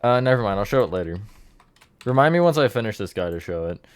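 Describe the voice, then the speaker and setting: A man's voice: on the low side, very animated, quick pace, clean tone, dry recording. A man streamer chatting casually with his viewers, counting blocks and answering chat while he builds.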